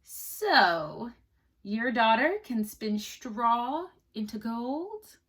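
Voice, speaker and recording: A woman voicing a greedy, harsh fairy-tale king in a storybook read-aloud, speaking in slow, commanding threats. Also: fairly high pitch, sing-song, fairly bright tone, breathy edge, full character voice. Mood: doubtful, curious.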